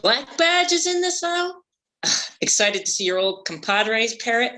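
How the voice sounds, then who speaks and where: A man's voice: very high voice, big pitch swings, unhurried, bright, forceful tone, a little hiss or hum, heavily acted. A man playing a vain, boastful ex-pirate shark hunter, grand and pompous, in a comedy table read. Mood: proud, scornful.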